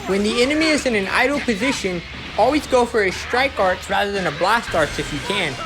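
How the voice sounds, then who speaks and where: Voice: mid-range voice, expressive, brisk. A game guide narrator explaining tactics step by step in an instructional way. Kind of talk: gameplay guide commentary. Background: music.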